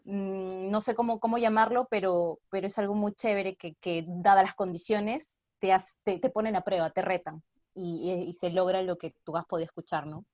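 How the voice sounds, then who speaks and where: A woman speaks in a casual, reflective interview style. She is an actress answering at her own speed, with a medium-pitched voice. The sound is very dry, without room echo. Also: steady tone, normal speed, a little mellow.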